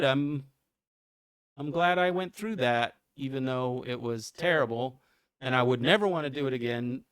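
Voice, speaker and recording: A man's voice: mid-range voice, smooth, dry recording. A man hosting a podcast interview, chatty and rambling, often restarting his sentences. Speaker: podcast host and coach. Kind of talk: casual interview.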